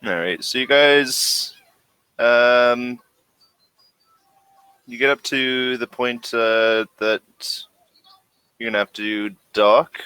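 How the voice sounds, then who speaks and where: A man acting as game master, narrating a tabletop role-playing game in a halting way. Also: medium pitch, bright, forceful tone, clean tone, dry recording, low-quality recording.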